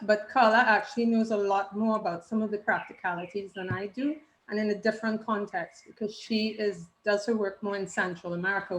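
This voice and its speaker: A woman leads a group session in a casual way. Her voice sits at an average pitch, and the room around her adds noticeable echo to the call audio. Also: fairly bright tone, a little hiss or hum. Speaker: workshop leader. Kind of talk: casual video-call facilitation. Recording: roomy echoing call audio.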